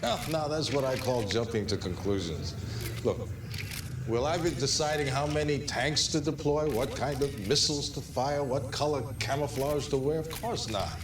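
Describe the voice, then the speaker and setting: Casting: male actor as pompous political leader, emphatic, self-assured, mid-pitched, game cutscene, noise underneath. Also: natural voice. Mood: defensive, assured. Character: pompous, smooth, power-hungry male political director. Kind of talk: dramatic video game cutscene acting.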